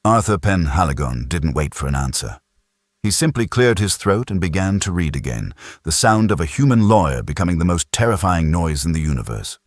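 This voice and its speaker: A man with an extremely low voice narrates a sci-fi audiobook. The delivery is measured and dramatic, recorded close and dry with no room sound. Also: very animated, no echo.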